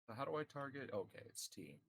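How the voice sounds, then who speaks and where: A man's voice: medium pitch, big pitch swings, fast, creaky, a bit bright, slight background noise. A man chatting casually as a player in a tabletop game, informal and given to swearing.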